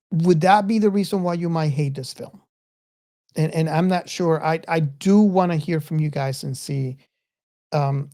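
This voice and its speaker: Woman podcast host talking movies, really deep dark voice, halting and thoughtful. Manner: halting, with frequent repeated words and emphatic stretches. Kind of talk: reflective film discussion.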